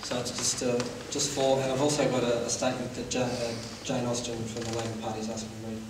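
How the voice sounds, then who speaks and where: A man hosting the event who hands over to audience questions in a hesitant, halting way. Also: mid-range voice, level tone. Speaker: event host. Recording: echoey hall from far off, noisy.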